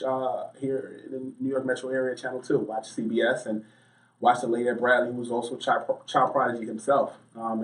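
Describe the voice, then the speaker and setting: A talkative American man answers interview questions in anecdotes, with a middling pitch. The room rings noticeably around his voice, and he sits a little back from the microphone. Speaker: interview guest, sports journalist. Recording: roomy, distant mic.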